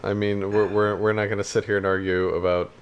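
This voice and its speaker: Low-voiced man in casual podcast talk. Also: level tone, fairly quick, clean tone, dull audio. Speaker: podcast co-host. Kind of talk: casual conversation.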